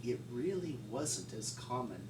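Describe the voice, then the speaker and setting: Unhurried man telling stories, distant echoey recording. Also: mid-range voice, sing-song. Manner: halting, unhurried, folksy. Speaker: paleontologist interviewee. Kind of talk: informal storytelling, reminiscing. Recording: distant mic, echoey room, loud noise.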